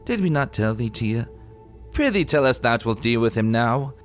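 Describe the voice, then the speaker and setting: A man's voice: medium pitch, very animated, moderate pace, faint music behind, voice pushed up, lightly acted. A man voicing a haughty, weary pony princess with dry, formal, old-fashioned speech, in a dramatic fantasy story reading. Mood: weary disdain. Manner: archaic, formal, sighing. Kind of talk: dramatic fan-fiction reading.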